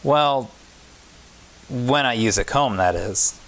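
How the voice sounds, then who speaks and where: A man's voice: medium pitch, slow pace, fairly bright tone, poor audio. A man voicing a self-conscious but hopeful young schoolgirl from a picture book. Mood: unsure. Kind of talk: picture book read-aloud.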